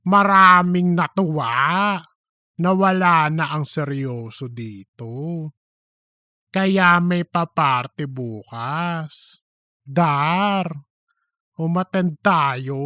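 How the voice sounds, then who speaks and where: Man playing slow, bright-voiced campy office gossip. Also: fairly high pitch, dry recording, studio quality, heavily acted. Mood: mocking. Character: gossipy, cheeky, self-promoting office worker named Cheese. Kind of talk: comedic audiobook dialogue.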